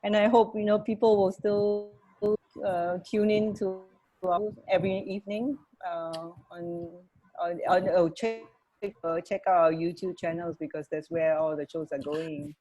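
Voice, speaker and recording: A woman co-hosting a radio show in casual chat, halting and often trailing off. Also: fairly low pitch, fairly even intonation, warm tone, poor audio.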